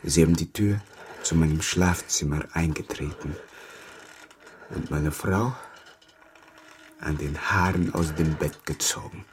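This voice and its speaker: An actor playing an embittered, grieving Afghan interpreter turned captor in a radio drama, moving between hushed confiding and outbursts. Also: male voice, a bass voice, measured pace, long pauses, a little hiss or hum. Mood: bitter, restrained. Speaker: former army interpreter.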